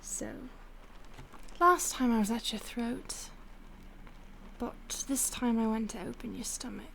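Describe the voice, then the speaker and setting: A woman's voice: a little high, a bit bright, a little hiss or hum, full character voice. A woman voicing a whispering, taunting vampire. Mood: hungry. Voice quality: breathy whispering. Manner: slow, taunting and condescending. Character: predatory teasing female vampire stalker, possessive. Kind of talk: audio roleplay.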